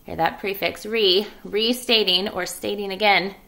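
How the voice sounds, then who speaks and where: A woman's voice: mid-range voice, steady tone, a little slow, creaky, a bit bright, poor audio. A woman, an elementary school teacher, reading a children's story aloud and giving instructions to her class.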